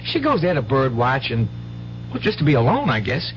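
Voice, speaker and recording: An actor playing an earnest, protective teenage country son in a 1970s radio drama, with hesitant rustic speech. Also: male voice, medium pitch, wildly swooping pitch, moderate pace. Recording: muffled old radio broadcast, faint hum.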